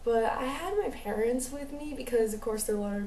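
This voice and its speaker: A woman's voice: fairly high pitch, normal speed, dark tone, roomy sound, distant mic, slight background noise. A young American woman with a mixed New Jersey and slightly Southern accent, telling a rambling, chatty vlog story full of fillers. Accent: mixed American, New Jersey with a light Southern drawl. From United States. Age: young adult. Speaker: vlogger.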